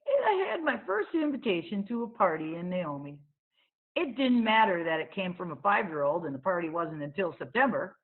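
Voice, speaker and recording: A woman narrates a children's book in an expressive read-aloud style. Her voice is slightly low and moves with lots of melody. The recording is thin and narrow, like a phone call. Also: brisk.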